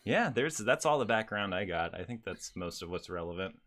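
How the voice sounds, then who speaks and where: Man, podcast co-host, very bright forceful voice, casual chat, enthusiastic. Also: fairly low pitch, animated, brisk, a little hiss or hum.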